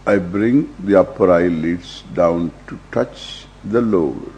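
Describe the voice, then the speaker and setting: An older man with an Indian accent guiding a meditation as a teacher, in measured short phrases with long pauses. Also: deep voice, a little mellow. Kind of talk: guided meditation, slow instruction. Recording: dull lo-fi recording with faint hum.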